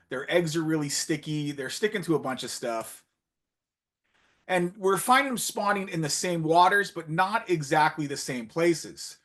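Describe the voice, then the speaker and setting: A man giving a conference talk with slides, chatty and full of fillers and restarts, getting animated when he retells his anecdotes. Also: a little high. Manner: conversational, many fillers and restarts. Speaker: conference speaker. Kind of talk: presentation with slides, storytelling.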